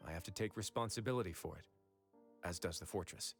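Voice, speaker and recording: A man playing a calm, quietly threatening prison warden in a game, measured in his speech. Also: deep voice, vocal fry, soft background music. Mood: regretful. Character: calm, menacing young male prison warden.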